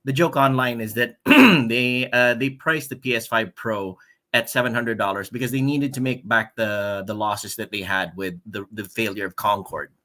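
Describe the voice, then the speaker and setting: Male host, bright medium-pitched voice, chatty, joking news round-up delivery. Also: steady tone, normal speed. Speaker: gaming show host. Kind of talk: casual news chat.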